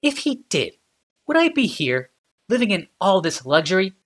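A sardonic, morbid female inventor, as a male reader puts her on, speaking in a calm, almost conversational way. Her pitch climbs and swoops with wide, restless movement. The tone stays bright and clear throughout the audiobook reading. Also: moderate pace. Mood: wry.

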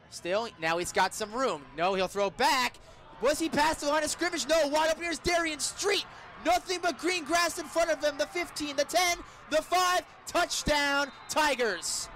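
Male radio play-by-play announcer, high-pitched, excitable football caller who draws out the big moments. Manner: excitable, shouts and stretches words on big plays. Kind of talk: live football play-by-play commentary. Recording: live radio sports broadcast.

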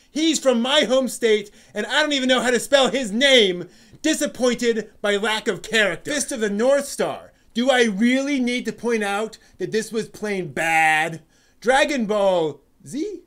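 Guy putting on a high whiny fanboy, slow melodramatic ranting, sighs and groans. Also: fairly bright tone, full character voice. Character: fussy, exasperated male anime fan venting online. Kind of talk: comedic dramatic reading.

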